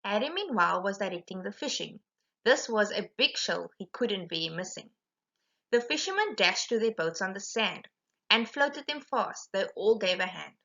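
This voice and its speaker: Lively, bright-voiced woman reading a storybook. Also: medium pitch, normal speed, muffled recording. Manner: animated, emphatic. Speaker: storyteller reading aloud a children's picture book. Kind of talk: expressive rhyming storybook narration.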